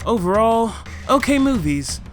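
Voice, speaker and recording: A man's voice: very high voice, sing-song, measured pace, soft background music. A man co-hosting a movie countdown, delivering scripted comic narration in an emphatic, over-the-top style. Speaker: co-host of a movie countdown show.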